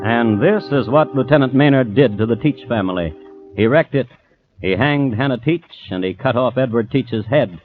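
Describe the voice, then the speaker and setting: A man playing a crime historian who narrates an old radio drama in a measured, dryly ironic way. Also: mid-range voice, expressive, faint music behind, lightly acted. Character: dry, storytelling male crime historian. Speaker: host and narrator. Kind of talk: 1950s radio scripted narration. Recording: dull old radio recording, faint noise.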